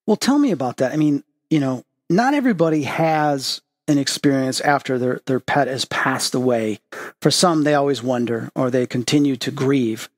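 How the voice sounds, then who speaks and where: A man's voice: medium pitch, normal speed. A man hosting a podcast, interviewing a guest with encouraging, curious questions. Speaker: podcast host.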